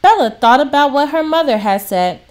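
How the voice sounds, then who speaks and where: A woman's voice: high voice, expressive, normal speed. A woman narrating a scripted children's story as a storybook reader.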